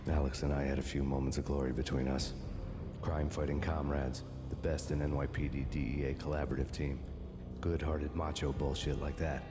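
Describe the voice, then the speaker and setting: An actor playing a weary, bitter cop in a video game, narrating in a sardonic, brooding, hardboiled noir style. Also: male voice, very deep voice, a little fast. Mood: brooding, deadpan. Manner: deadpan, brooding. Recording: loud music underneath.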